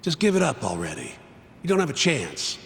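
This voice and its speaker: A man's voice: on the high side, wildly swooping pitch, a little fast, gravelly, long reverb tail, slight background noise, heavily acted. An actor playing a blunt, skeptical male partner who dismisses the case. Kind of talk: video game voice acting.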